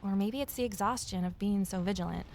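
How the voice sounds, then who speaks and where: A woman's voice: mid-range voice, brisk, background noise, natural voice. An actress playing a guarded, lonely amateur sleuth who narrates her own mystery in an introspective audio drama style. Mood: wistful.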